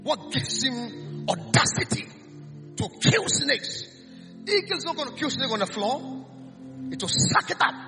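A man with a Ghanaian accent preaching a sermon, calling out lines for the congregation to repeat, dropping low and then rising to shouts. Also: big pitch swings, a little slow, creaky, long pauses, over music. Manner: fiery call-and-response preaching, swings from hushed to shouting.

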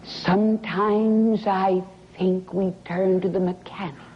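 A woman preaching a revivalist sermon in a dramatic, emphatic manner, drawing out words and dropping into breathy whispers, in a sanctuary. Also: medium pitch, steady tone, takes it slowly. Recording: dull, noisy lo-fi archival sermon recording.

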